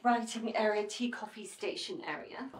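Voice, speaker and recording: A woman's voice: mid-range voice, moderate pace. A woman in her forties giving casual, appreciative commentary to a handheld camera indoors as a vlog co-presenter. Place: indoors in a hotel.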